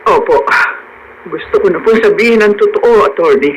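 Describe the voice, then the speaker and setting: An actor voicing an aggrieved accomplice in a radio drama, emphatic and dramatic, with a Filipino accent. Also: male voice, high-pitched, expressive, moderate pace. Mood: earnest, nervous. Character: aggrieved accomplice demanding a share, then confessing. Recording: noisy radio drama broadcast.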